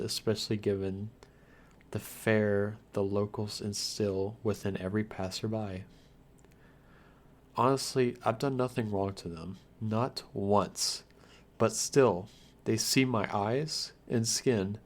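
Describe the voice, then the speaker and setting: Man playing a low-voiced, melancholy male vampire. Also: steady tone, measured pace, natural voice. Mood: guarded, wry. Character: lonely undead male vampire, guarded, wry and melancholy. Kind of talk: ASMR roleplay monologue to the listener.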